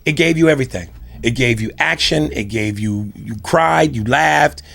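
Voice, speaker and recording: A man hosting a casual podcast, talking in an animated, profane and emphatic way. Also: mid-range voice, normal speed, vocal fry. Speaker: podcast host. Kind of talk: casual comedic chat.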